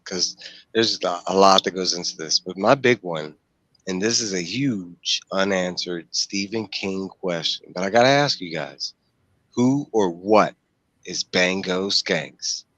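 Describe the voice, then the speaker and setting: A man with a low voice hosts an informal book discussion. He explains as he goes and poses questions to the others. The headset sound is dry, with very little room in it. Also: fairly bright tone, no echo. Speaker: host of a book discussion stream. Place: small room at home, video call. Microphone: headset microphone.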